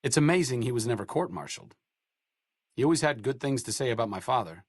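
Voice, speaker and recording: A man's voice: mid-range voice, lively intonation, fast talker, breathy edge, no echo. An actor playing a bookish, dry-witted male doctor, speaking with sarcastic, literate quips in video game dialogue. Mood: reflective.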